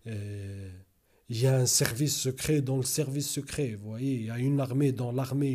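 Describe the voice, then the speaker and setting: A man's voice: mid-range voice, warm tone. A man who is not a native French speaker talks straight to his viewers, rambling, hesitating and circling back to repeat himself. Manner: rambling, repeats "vous voyez" often; hesitant, many fillers and restarts. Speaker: YouTuber. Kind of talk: casual monologue to camera.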